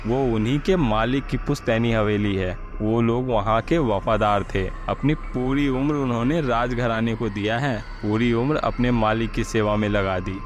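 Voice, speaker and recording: A man's voice: mid-range voice, moderate pace, hardly any pauses, a little hiss or hum, lightly acted. A man voicing a self-assured, sceptical husband who explains and reassures firmly in a horror story. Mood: firm, dismissive. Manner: firm, explanatory.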